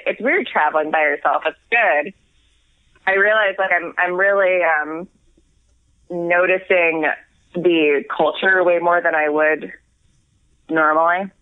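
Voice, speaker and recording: A woman's voice: on the low side, no room sound. An American woman co-hosting by phone, a little tipsy, rambling and giggly with lots of restarts. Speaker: podcast co-host calling in from abroad. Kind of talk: casual chat. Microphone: phone call. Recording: tinny phone line.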